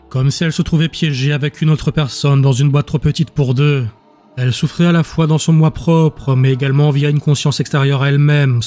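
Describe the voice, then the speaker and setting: Male narrator, mid-range pitch, scripted dramatic storytelling, music bed. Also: warm tone. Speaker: audiobook narrator.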